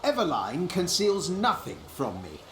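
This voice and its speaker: A suspicious, self-important circus companion from a fantasy video game. The voice is low and drawn out, with swooping, disdainful intonation. It echoes over music. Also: female voice, huge pitch swings, a little slow, a little hiss or hum. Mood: disdainful, guarded.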